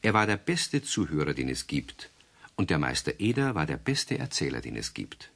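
A man narrating a children's radio play, gentle and confiding, addressing the young listeners directly. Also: very deep voice, big pitch swings, normal speed, gravelly. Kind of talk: warm storybook narration for children.

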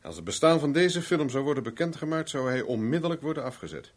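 Probing investigator, lively swooping delivery. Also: male voice, mid-range voice, fast. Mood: businesslike, explanatory. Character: probing, self-assured male private investigator. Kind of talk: 1970s Dutch radio drama acting.

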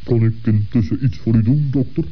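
A man's voice: medium pitch, brisk, lightly acted. A man playing a solemn, unflappable owl who serves as a nurse, speaking in a stately, matter-of-fact way in a 1960s children's radio play. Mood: solemn, businesslike. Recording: dull vintage lo-fi recording.

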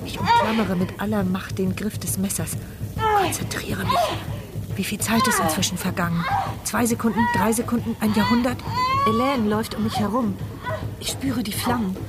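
A woman's voice: mid-range voice, very animated, normal speed, lightly acted. An actress playing a frightened, injured young woman who narrates her inner thoughts in a crime radio drama. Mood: panicked, tense. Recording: music playing behind the voice.

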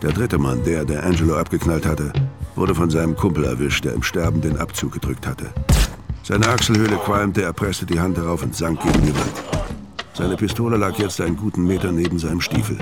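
Hitman narrator for crime radio drama, deep voice, terse, music behind him. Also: brisk. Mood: grim, terse. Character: hard-boiled male hitman narrating his bloody escape. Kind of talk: scripted first-person noir radio drama narration.

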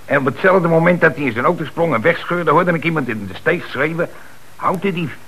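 A man acting in a radio play as an excitable, streetwise young suspect, slangy, hesitant and defensive. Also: a little high, a little fast, husky, rough recording. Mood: excited, hesitant. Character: excitable young streetwise male suspect telling his story.

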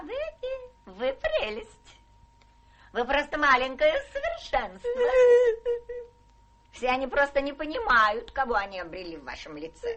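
An actress in a Russian radio play playing a rich, capricious, imperious old English spinster with a blunt theatrical manner. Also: female voice, high-pitched, sharp tone, heavily acted.

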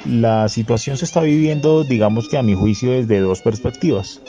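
A man with a Colombian accent giving an informal, analytical spoken report, measured and somewhat hesitant. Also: mid-range voice, mellow. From Bogotá, Colombia. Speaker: guest correspondent sending an audio report. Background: faint guitar music.

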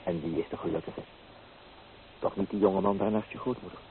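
An actor playing a teasing, free-spirited young painter in an old Dutch radio play, with theatrical delivery. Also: male voice, low voice, fairly even intonation, fairly quick, natural voice. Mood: teasing, surprised. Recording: muffled, noisy old radio recording.

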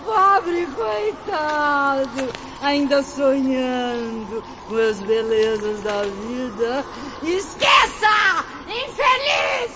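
A shrill, bitter swamp spirit. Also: male voice. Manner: shouting, mocking, despairing. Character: bitter tormented male spirit in a cursed swamp. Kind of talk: radio drama acting. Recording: dull lo-fi audio with noise.

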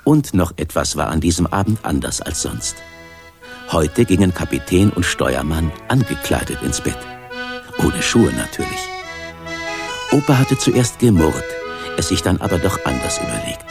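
A man's voice: deep voice, gravelly. An older man narrating a children's radio play in a wry storytelling manner. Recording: accordion music under narration.

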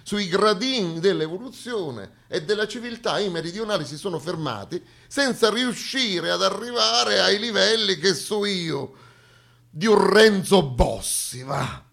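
A man's voice: high-pitched, lively intonation, measured pace, vocal fry, fairly bright tone. Ironic man delivering a stage monologue. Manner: ironic, colloquial, rhetorical questions. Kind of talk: satirical theatre monologue to an audience. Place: theatre stage.

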